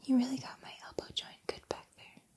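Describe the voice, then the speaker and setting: A woman voicing a gentle, encouraging combat training robot in a soft, breathy, measured ASMR roleplay whisper. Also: natural voice. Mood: gentle, affectionate.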